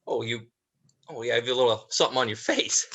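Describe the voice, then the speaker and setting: A man playing an anxious, awkward eldest brother turned killer in a horror play reading, rambling and halting in delivery. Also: medium pitch, bright, forceful tone. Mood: awkward, nervous.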